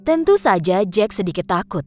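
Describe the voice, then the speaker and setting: A woman's voice: medium pitch, very animated, normal speed, darkish tone, faint music behind. A woman narrating a scripted fairy tale for children.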